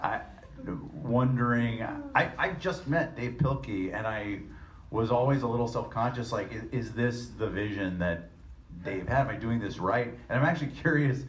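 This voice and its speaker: An affable man answering questions on a press panel, self-deprecating and quick to laugh. His voice sits at an ordinary middle pitch and carries the echo of a large room, with faint background noise. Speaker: voice actor on a panel. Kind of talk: casual press conference answers. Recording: roomy hall with faint noise.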